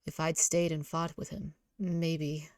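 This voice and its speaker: A woman voicing a brooding, injured teenage delinquent boy in a low, subdued, terse grumble for a visual novel. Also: flat delivery, slow, darkish tone, no echo, studio quality. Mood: regretful.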